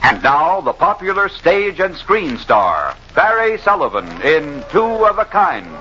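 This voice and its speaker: A man's voice: a little high, big pitch swings, measured pace, bright, forceful tone. A man making grand, emphatic announcements in a theatrical old radio style. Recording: very noisy, dull old radio recording.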